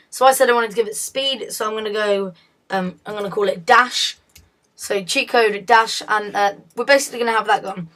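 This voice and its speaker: A woman with a hoarse, fading voice, talking through a game tutorial in a chatty, self-correcting way. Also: fairly high pitch, fairly bright tone. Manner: chatty, self-correcting, often laughs at slips. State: hoarse, losing her voice. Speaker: video tutorial host.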